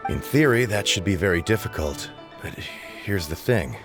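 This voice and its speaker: A man's voice: low-pitched, lively intonation, moderate pace, music in the background. A man playing a cocky, boastful young informant in a video game, with a smug, theatrical delivery. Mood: informative. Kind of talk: video game character dialogue.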